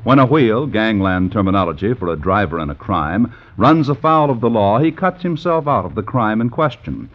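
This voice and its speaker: A man's voice: fairly low pitch, expressive, normal speed, hardly any pauses. A man announcing in an emphatic, formal 1950s radio promotional style. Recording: muffled vintage radio recording.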